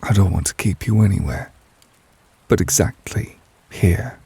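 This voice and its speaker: A man playing a devoted, tender but possessive lover in a romantic ASMR roleplay, speaking softly and intimately in a measured, wistful way, close to the microphone. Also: sits low, no room sound, heavily acted. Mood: intense devotion. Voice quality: soft, breathy, husky. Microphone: close, dry microphone.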